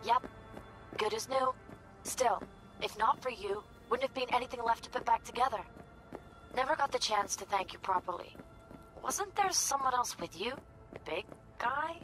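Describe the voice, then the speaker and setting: A businesslike corporate woman in a video game, uneasy under the surface. Her voice is fairly high, her delivery clipped and evasive, with music clearly behind it. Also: expressive, normal speed, pauses often, a bit bright, poor audio. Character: guarded, uneasy female corporate professional, curt and businesslike. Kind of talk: naturalistic video game voice acting.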